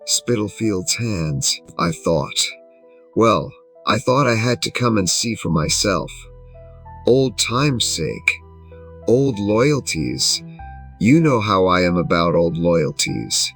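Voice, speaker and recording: Man acting as patronising old gentleman, audio drama, deep register, drawn-out pompous delivery, soft music underneath. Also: expressive, breathy edge, exaggerated voice. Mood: effusive, condescending. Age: older. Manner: pompous, effusive, deliberate phrasing. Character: smug, patronising, well-dressed sixty-one-year-old English gentleman. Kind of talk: audio drama character dialogue.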